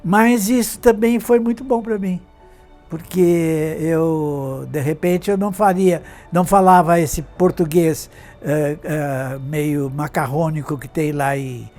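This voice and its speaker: A man's voice: a little high, slow, darkish tone, soft background music. An elderly man in his eighties from Brazil, an artist and fashion designer, reminiscing in an interview. He rambles, restarts his sentences and keeps checking in with his listener. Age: 80s. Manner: rambling, with many restarts and tag questions.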